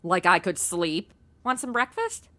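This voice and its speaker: A woman's voice: lowish voice, huge pitch swings, a little slow, fairly bright tone, full character voice. A woman playing a flippant, ditzy modern young woman in a comedy audio drama, sarcastic and full of exclamations and slang.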